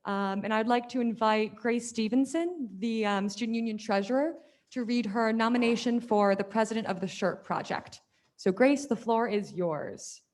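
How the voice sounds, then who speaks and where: A woman's voice: a little high, normal speed. Upbeat young woman chairing a meeting. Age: young adult. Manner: effusive, upbeat, lots of praise. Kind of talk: running a student government meeting.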